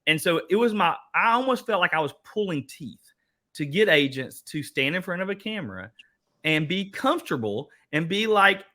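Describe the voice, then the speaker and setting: A man with an Eastern Kentucky accent hosts a casual workshop talk and speaks to the crowd as "guys." His voice sits a little high and sounds bright and forceful. He talks in a folksy, rambling way. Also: sing-song, moderate pace. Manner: chatty, folksy, restarts and speaks to the audience as "guys". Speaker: host.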